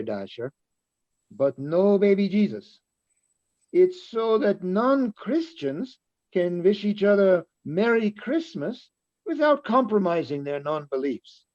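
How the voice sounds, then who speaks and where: A man's voice: sits high, sing-song, slow pace, darkish tone, heavily acted. A man reading the part of an agreeable, chatty friend in an amateur radio play, searching for words, on slightly dull audio. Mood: chatty, informative.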